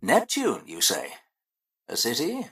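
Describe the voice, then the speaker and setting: Distant, courteous gentleman. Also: medium pitch, wildly swooping pitch, takes it slowly, vocal fry, echoey room, exaggerated voice. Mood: polite bemusement. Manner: understated, courteous. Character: polite, reserved gentleman on Earth answering a radio call. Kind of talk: video game dialogue.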